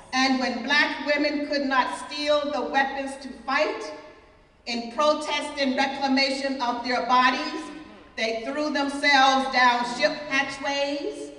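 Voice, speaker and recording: A woman performs a scripted dramatic spoken-word monologue in a solemn, deliberate, emphatic manner. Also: high-pitched, sharp tone. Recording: very echoey hall, distant microphone.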